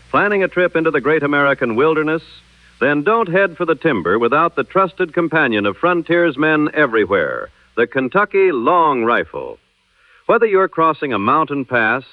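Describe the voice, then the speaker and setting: A man's voice: fairly high pitch, no room sound. A man playing a hearty announcer in a vintage radio commercial, salesmanlike, through an old radio filter. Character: cheery old-time radio rifle pitchman. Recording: tinny narrow-band old radio sound.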